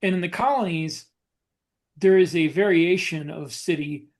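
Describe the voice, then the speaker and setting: A man co-hosting a casual podcast discussion as a writer, explaining things in a halting way. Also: fairly high pitch. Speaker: podcast co-host, science fiction writer.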